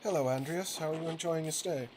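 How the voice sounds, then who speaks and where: A man's voice: on the high side, fast talker, noisy background, voice pushed up, lightly acted. A man voicing a polite, attentive innkeeper's wife from a game, formal and courteous. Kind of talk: reading game dialogue aloud.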